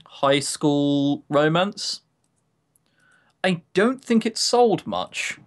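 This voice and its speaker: A man's voice: on the high side, lively intonation, drawn-out words. A man co-hosting a podcast in casual, opinionated chat, grumbling, sarcastic and given to swearing. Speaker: podcast co-host.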